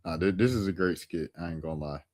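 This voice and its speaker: A young man streaming and reacting to clips in a laid-back, joking way, full of slang and shout-outs. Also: sits low, quick pace. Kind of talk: casual reaction commentary.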